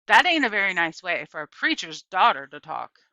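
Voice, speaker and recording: A goading boy of nine or ten from a storybook, put on by a woman reader. He jeers and taunts in a bright, sharp tone with lively pitch. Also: fairly quick, no echo, dull audio, exaggerated voice. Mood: jeering. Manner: jeering, goading. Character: taunting bald young boys, about nine and ten.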